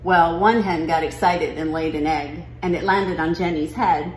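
A woman's voice: lowish voice, fairly even intonation, moderate pace, natural voice. A woman reading a children's picture book as a nonchalant young schoolgirl, matter-of-factly recounting a farm trip. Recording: roomy living room with noise.

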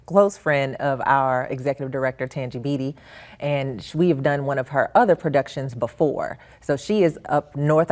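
A woman in her thirties giving informative answers as a guest spokeswoman in a TV studio interview. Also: low-pitched. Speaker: arts center spokeswoman, guest. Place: small indoor TV studio. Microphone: lapel mic.

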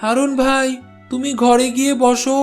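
A man's voice: extremely high pitch, level tone, moderate pace. A man voicing a kindly village cart driver in a cartoon, speaking in a reassuring, neighbourly way. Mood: inquiring. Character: helpful village bullock-cart porter, kindly male friend. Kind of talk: Bengali cartoon dialogue. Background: soft music.

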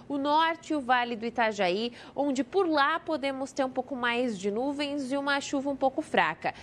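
High-voiced Brazilian woman forecasting weather. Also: slight background noise. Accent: Brazilian Portuguese. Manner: lively, conversational explaining. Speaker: weather presenter. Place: TV studio.

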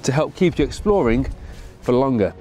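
A man reading a scripted product promo voice-over in an even, polished manner. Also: medium pitch, moderate pace. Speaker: product video narrator. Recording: voice-over over music bed. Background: background music.

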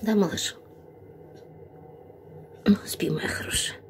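A woman's voice: slow pace, darkish tone, in a whisper. A middle-aged woman rescuing animals, speaking earnestly to viewers and tenderly to sick puppies while ill with bronchitis, filmed on a phone indoors. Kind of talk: casual appeal to viewers. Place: veterinary clinic and home room, indoors.

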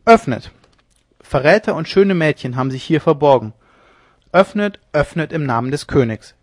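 A man voicing a swaggering, mocking young ship's lieutenant who talks loudly and jeers, in a dry close recording. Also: a little high, lively intonation, normal speed, dry recording. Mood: boisterous. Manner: boisterous, jeering, loud.

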